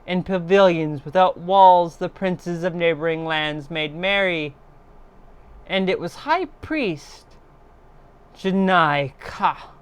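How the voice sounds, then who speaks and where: A woman narrating a horror story in a theatrical, deliberate way, swelling in intensity as a livestream storyteller. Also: fairly low pitch, clean tone. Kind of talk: dramatic horror story reading.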